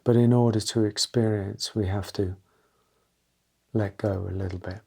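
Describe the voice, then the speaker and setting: A man leading a guided meditation, talking gently and unscripted in short, halting phrases with pauses between them. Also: on the low side, steady tone, vocal fry, breathy edge.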